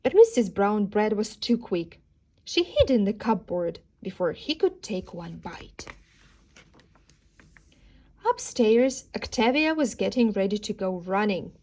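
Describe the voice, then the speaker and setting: A woman's voice: mid-range voice, very animated, unhurried, a little mellow. A woman narrating a children's storybook as a teacher would, expressively, with dramatic emphasis on key words. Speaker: teacher reading aloud.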